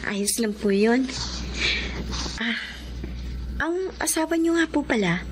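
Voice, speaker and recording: A kind young woman, a single mother with a fairly high voice and a respectful way of speaking. She is honest and a little guarded, in radio drama style, with faint noise and ambience behind her. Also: animated, slow. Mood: polite, reassuring. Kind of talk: Tagalog radio drama acting.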